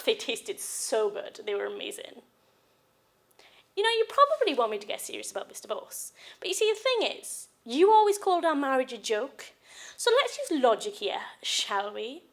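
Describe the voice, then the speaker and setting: A woman's voice: sits high, a bit bright, heavily acted. A woman performing a stage monologue as a jilted, clingy wife, sarcastic and desperately in love. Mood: mock-cheerful, sarcastic.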